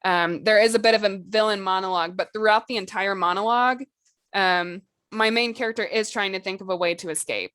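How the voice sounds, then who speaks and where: A woman's voice: medium pitch, a little fast, a bit bright, clean tone, dry recording, clean studio sound. A woman co-hosting a casual podcast discussion, talking in a conversational, loosely hedged way with "like" and "you know" sprinkled in. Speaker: podcast co-host.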